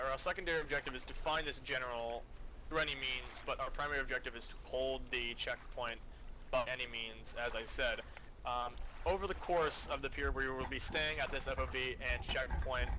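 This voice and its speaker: Man, squad leader, bossy, swearing, improvised military game briefing, medium pitch, loud background noise. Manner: foul-mouthed, bossy. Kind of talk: improvised mission briefing over game voice chat.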